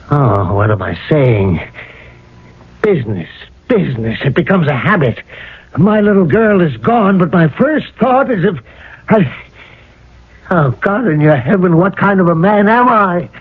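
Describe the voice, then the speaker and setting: An actor playing a weary, guilt-ridden businessman father in a radio drama, halting and rueful. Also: a little high, husky. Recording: muffled old radio broadcast.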